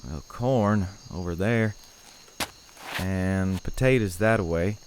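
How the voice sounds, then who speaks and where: A man's voice: sits low, takes it slowly. A man playing a troubled, plain-spoken farmer in an audio horror play, hesitant, folksy and understated. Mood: grim, resigned. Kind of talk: audio horror drama acting. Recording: insect-like ambient noise behind dialogue.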